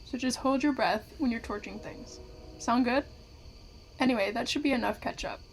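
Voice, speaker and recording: An actress playing a curious, courteous investigative podcaster in an audio drama, speaking conversationally. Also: female voice, on the high side, moderate pace, slight background noise, close to an everyday voice, poor audio. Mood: wry, explanatory. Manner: courteous, conversational, addresses listeners directly. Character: curious, polite female investigative podcaster among zombie fighters.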